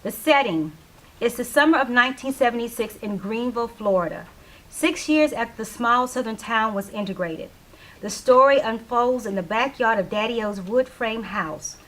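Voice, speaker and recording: A woman's voice: a little high, normal speed, fairly bright tone, rough recording. A woman reading scripted stage directions as the narrator of a play reading.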